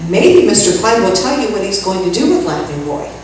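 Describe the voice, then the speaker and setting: A woman playing a sensible, patient young friend who coaxes gently in an old-time radio comedy. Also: mid-range voice, big pitch swings, brisk, close to an everyday voice. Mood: reassuring. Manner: coaxing, level-headed. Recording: echoey room, distant mic, faint noise.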